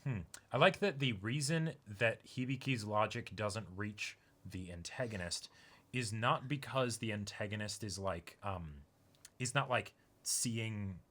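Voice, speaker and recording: A man's voice: on the low side, measured pace, a bit bright. A man co-hosting a reaction show, talking casually and without a script. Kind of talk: casual unscripted discussion.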